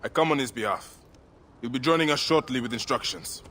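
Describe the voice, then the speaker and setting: An actor playing a brooding, vengeful Egyptian protector and grieving father in a video game, speaking in a solemn, measured way. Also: medium pitch, expressive, bright, forceful tone. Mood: businesslike. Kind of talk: video game dramatic acting. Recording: music under the voice.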